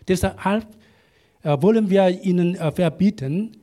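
A man preaching in German, a language he learned as an adult, halting often with fillers and restarts while an interpreter follows. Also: high-pitched, mellow. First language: not German. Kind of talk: sermon with live interpreter.

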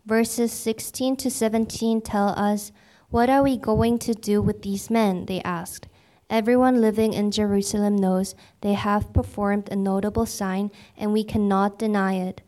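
A woman interpreting a sermon into English in fluent, even sentences after each passage. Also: medium pitch, a little slow.

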